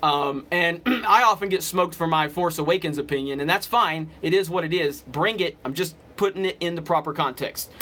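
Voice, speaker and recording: A man's voice: a little high, moderate pace, few pauses, sharp tone. A man from Alabama with a slight Southern US accent, hosting a casual livestream and chatting off the cuff with commenters in a rambling way. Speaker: livestream host. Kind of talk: casual live chat with commenters.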